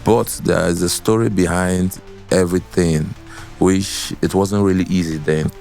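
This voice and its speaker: Nigerian male, very low bass, relaxed podcast storytelling with some Pidgin, music underneath. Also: a little slow, vocal fry. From Port Harcourt, Nigeria. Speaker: guest musician, singer. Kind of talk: casual storytelling in interview. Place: podcast studio.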